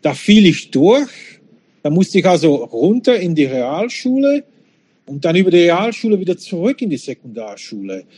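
Lively higher-voiced man, non-native German speaker. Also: normal speed, husky, no echo. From Switzerland, born in Czechoslovakia. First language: not German. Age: 50s. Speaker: interview guest. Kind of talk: casual reminiscing conversation.

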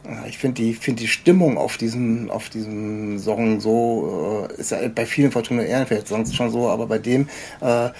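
A man's voice: on the low side, normal speed, a little mellow, slight background noise. A man interviewing a guest in casual chat, with a rambling, roundabout way of asking questions. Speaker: podcast host, interviewer.

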